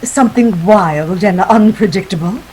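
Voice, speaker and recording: A woman's voice: medium pitch, moderate pace, mellow, a little hiss or hum, lightly acted, poor audio. A woman playing a scheming, seductive femme fatale in a 1940s-style radio drama, with melodramatic pleading and world-weary guile. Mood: coy, evasive.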